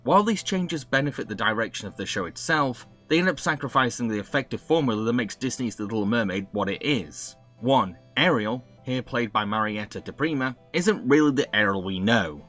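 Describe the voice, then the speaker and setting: A man's voice: mid-range voice, lively intonation, brisk, hardly any pauses, a bit bright. British male reviewer; mid-range voice, dry opinionated scripted delivery over faint music. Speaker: video reviewer and host.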